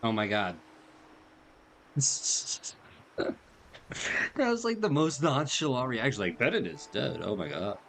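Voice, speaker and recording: A man's voice: medium pitch, big pitch swings, slow pace, creaky, pauses often, a bit bright, slight background noise. A man giving casual, slangy playthrough commentary, reacting to a game and reading bits of dialogue aloud. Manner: laid-back, slangy, reactive. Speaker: game streamer. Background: faint game music.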